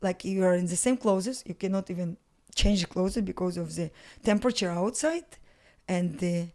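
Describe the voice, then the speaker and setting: A middle-aged woman with a Russian accent talks in a casual studio interview, her English halting as she hunts for words. She laughs easily. Her pitch sits in a middle range. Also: dark tone. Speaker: podcast guest, mountaineer. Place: home studio with bookshelves, indoors. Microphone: studio mic on stand, headphones.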